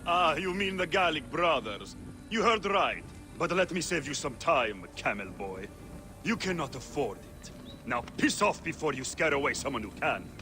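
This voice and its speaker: A brash, mercenary arena owner in a video game. His fairly high male voice swoops about as he sneers and insults, with music underneath. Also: sing-song, normal speed, sharp tone, full character voice. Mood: sneering, dismissive. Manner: mocking, insulting, dismissive. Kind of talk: video game cutscene acting.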